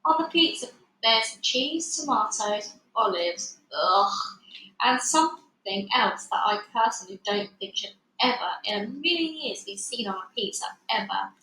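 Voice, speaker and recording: A woman reading a children's book aloud in an expressive, dramatic storytelling style. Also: fairly high pitch, a little slow. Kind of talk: animated read-aloud narration. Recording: echoey room, faint background noise.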